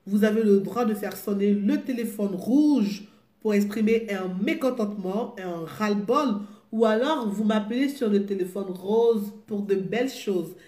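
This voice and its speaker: A woman's voice: mid-range voice, dark tone. A woman with a cold hosting a live call-in show, chatting casually, hesitating with many fillers and repeating herself. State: has a cold. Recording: echoey room with music playing.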